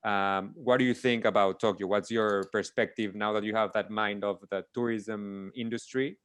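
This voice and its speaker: A man's voice: fairly low pitch, fairly even intonation, moderate pace, a bit bright, smooth, no echo. A man hosting a casual interview, rambling through long questions and restarting them with many fillers. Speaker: interview host.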